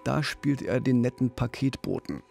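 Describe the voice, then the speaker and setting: A man's voice: medium pitch, moderate pace, vocal fry, a little mellow, faint music behind. A middle-aged man telling a crime story conversationally as a podcast host, close on a studio mic. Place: small radio studio. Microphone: close studio boom mic with pop filter.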